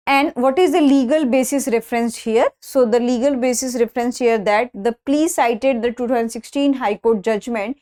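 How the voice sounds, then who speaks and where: A woman's voice: on the high side, a little mellow. A woman with an Indian accent giving an explanatory lecture on current affairs as an online instructor, in a didactic, step-by-step way. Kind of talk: explanatory news-analysis lecture.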